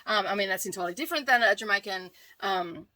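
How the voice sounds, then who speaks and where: A woman's voice: mid-range voice, fast, bright, forceful tone. A woman with an Australian accent reviewing books in an informal, rambling way, often correcting herself as she goes. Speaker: book reviewer, vlogger. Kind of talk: casual chat to camera, book review.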